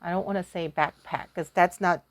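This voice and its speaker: A woman's voice: fairly low pitch, steady tone, moderate pace, dry recording. Chatty woman teaching casually, dry close sound. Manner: chatty, self-deprecating, thinking aloud while drawing. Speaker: Korean language teacher. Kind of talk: casual lesson told through a folktale.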